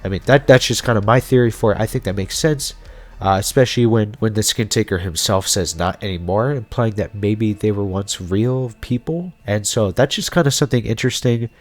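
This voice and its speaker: A man's voice: fairly low pitch, brisk. A man giving casual explainer commentary as a video host, talking through theories in an informal, speculative way. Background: background music.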